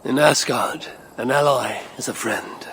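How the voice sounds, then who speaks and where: Fierce norse god dad in a game cutscene, gravelly, hard-edged, slow and intense. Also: male voice, medium pitch, fairly bright tone, a little hiss or hum. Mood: grimly resolved. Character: fierce, grieving Norse god, a vengeful devoted father. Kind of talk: dramatic video game cutscene acting.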